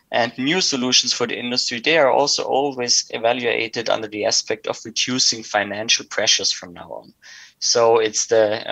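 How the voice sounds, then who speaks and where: A man's voice: mid-range voice, gravelly, a bit bright, dry recording, poor audio. A man from Austria with an Austrian accent, a native German speaker, explaining things methodically as an interview guest. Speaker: interview guest, sales director.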